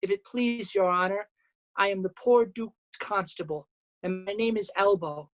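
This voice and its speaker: Woman as male constable, bumbling, earnest, self-important, halting, Shakespearean staged reading. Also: mid-range voice, close to an everyday voice. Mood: officious. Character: bumbling earnest male constable who muddles his words.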